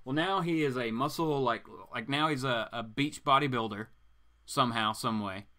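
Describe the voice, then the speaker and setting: A man's voice: medium pitch, normal speed, sharp tone. A man hosting a live show, casually reading comics aloud and commenting with mock-incredulous asides.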